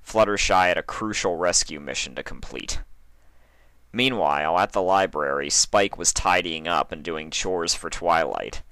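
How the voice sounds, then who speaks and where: A man reading a fan fiction story aloud as narrator, in a deliberate, theatrical way. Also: low voice, fairly bright tone, smooth, no echo. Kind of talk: scripted dramatic story narration.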